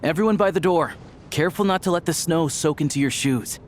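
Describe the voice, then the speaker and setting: A man's voice: fairly high pitch, brisk, slight background noise, close to an everyday voice. An actor voicing a friendly, capable young male theater worker in a game cutscene, easygoing and helpful.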